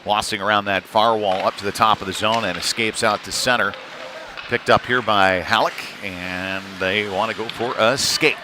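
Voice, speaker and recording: A man giving live play-by-play of youth hockey in a conversational, folksy manner, with pauses between calls. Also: on the low side, steady tone. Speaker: play-by-play commentator. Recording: noisy live rink feed.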